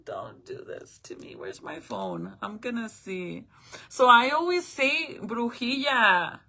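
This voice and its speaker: A woman's voice: a little high, big pitch swings, takes it slowly, bright, forceful tone. A woman whose first language is Spanish, chatting casually with her viewers on a live stream, telling stories and swearing freely.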